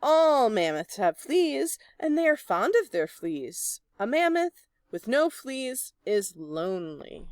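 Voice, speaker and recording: A woman's voice: on the high side, very animated, slow, hardly any pauses, darkish tone, dry recording. A woman voicing an earnest young child fondly defending a pet in a storybook. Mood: fond, insistent.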